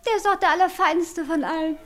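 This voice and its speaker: An actress playing a giddy, dreamy innkeeper's young daughter in a German radio play, excitable, girlish and snobbish. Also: very high voice, a little fast. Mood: teasing, giddy.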